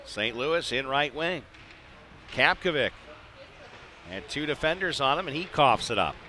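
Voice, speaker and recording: A man's voice: mid-range voice, expressive, unhurried, pushed and bright, slight background noise. A man doing live play-by-play hockey commentary in clipped phrases with chatty asides. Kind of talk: live radio-style sports commentary. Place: indoor ice arena.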